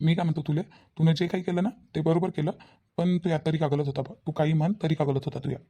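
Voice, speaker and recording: A man's voice: on the high side, level tone, fast talker, mellow. A man voicing a principled young friend in a village cartoon, scolding insistently with repeated questions in a Varhadi Marathi accent. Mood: insistent, disapproving. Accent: Varhadi Marathi (Vidarbha). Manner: reproachful, repetitive, insistent questioning.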